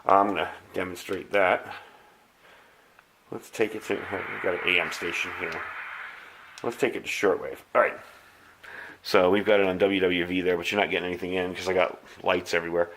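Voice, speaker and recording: A man's voice: sits low, steady tone, moderate pace, long pauses, a bit bright, a little hiss or hum, rough recording. A man with an American accent giving an informal, hands-on product demonstration, talking through each step as he goes. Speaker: radio hobbyist reviewing gear. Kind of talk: casual product review and demonstration.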